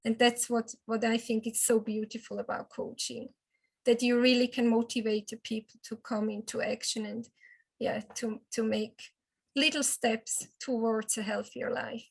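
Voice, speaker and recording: A woman's voice: a little high, little pitch movement, normal speed, creaky, husky. A woman from Switzerland, a native Swiss German speaker with a Swiss German accent, speaking earnestly as a health and wellness coach and often restarting her sentences. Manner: earnest, with frequent restarts and hesitations. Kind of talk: personal testimonial.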